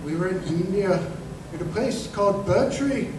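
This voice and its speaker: A man playing an embittered working-class ex-corporal in an old-time radio drama, speaking haltingly and emphatically. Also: high-pitched, lively intonation. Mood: wistful, bitter recollection. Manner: halting, repeats words, emphatic. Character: embittered crippled ex-corporal recalling his betrayal, earnest and working-class. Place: live stage performance in a museum. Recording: echoey live performance, distant mic, noisy room.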